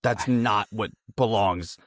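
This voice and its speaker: Man, American accent, fairly low pitch, dry recording, informal interviewer, chatty and wordy. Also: slow, a bit bright, no room sound. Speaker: interview host. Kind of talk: casual conversational interview.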